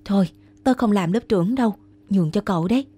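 A woman's voice: mid-range voice, fast, dark tone, slightly rough, pitched below the performer's own voice, lightly acted. A woman voicing a modest, earnest young turtle in a children's cartoon. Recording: music behind the voice.

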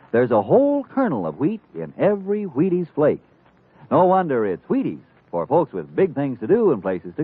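Swooping old-time radio pitchman. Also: slightly rough. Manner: punchy, emphatic, repetitive salesman delivery. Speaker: commercial announcer. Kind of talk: 1950s radio advertisement pitch. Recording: thin, narrow old radio recording.

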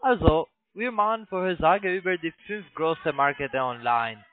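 A man with a foreign accent, not a native speaker, making a halting sales pitch over the phone in broken sentences with repeated restarts. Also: slight background noise. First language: not German. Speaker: phone sales agent, investment scam caller. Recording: tinny narrow phone line.

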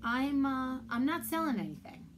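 A woman's voice: expressive, normal speed, faint music behind. A woman voicing a nervous, eager teenage girl who babbles and hesitates. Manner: babbling, hesitant, high and bright.

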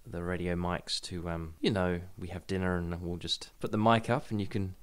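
A man's voice: low-pitched, fairly even intonation, normal speed, clean tone. A man hosting a podcast in casual conversation, talking in a hedging, agreeable, conversational way. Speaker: podcast host.

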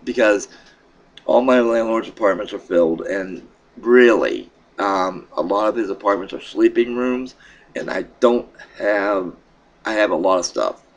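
A middle-aged man with a froggy throat, casually talking to his webcam at home in a rambling, halting way. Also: fairly low pitch. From Pennsylvania. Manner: rambling, halting, with many fillers and restarts. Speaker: vlogger. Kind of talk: casual vlog update. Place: small room in a home, indoors. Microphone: webcam at desk.

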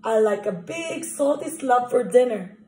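A woman voicing an excitable, fretful crocodile from a picture book, with dramatic, drawn-out exclamations. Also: fairly high pitch. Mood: delighted. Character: excitable, fretful watermelon-loving young male crocodile. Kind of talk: picture-book character reading. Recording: echoey room recording.

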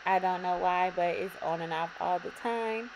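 A young woman with a slightly raspy voice, calmly talking viewers through a hair braiding tutorial in her bedroom. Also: lowish voice, normal speed. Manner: relaxed, repetitive instructions addressing viewers. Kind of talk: step-by-step tutorial narration. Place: bedroom, indoors. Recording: audible background noise.

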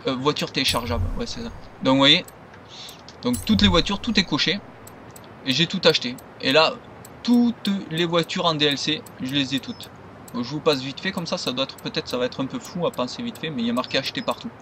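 A man's voice: a little high, animated, brisk, pushed and bright. A man giving casual, unscripted game commentary, rambling and stumbling over his words. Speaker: gaming video creator. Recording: noisy home recording.

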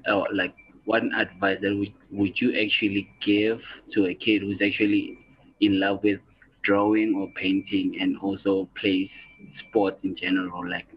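A young man from South Africa interviewing a guest over video, asking quick eager questions with fillers. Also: sits low, breathy edge, dull audio. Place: indoor room by a window.

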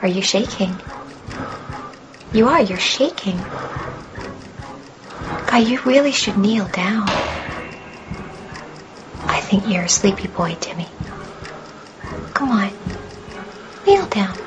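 A woman's voice: medium pitch, lively intonation, slow pace, long pauses, muffled recording, background noise. An actress playing a possessed twelve-year-old girl in a radio drama, eerie, dreamy and knowingly taunting.

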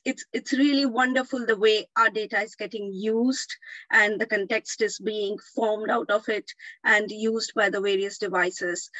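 A woman's voice: a little high, fairly bright tone, no room sound, rough recording. A woman moderating a panel, chatty and encouraging as she hands over to the speakers.

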